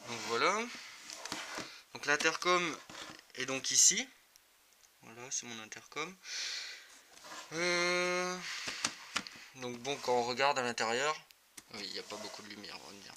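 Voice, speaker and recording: A man's voice: medium pitch, expressive, a little slow, long pauses. A man giving a casual, improvised and somewhat hesitant hands-on product demonstration for a video. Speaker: motorcycle gear video reviewer. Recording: clearly noisy amateur video recording.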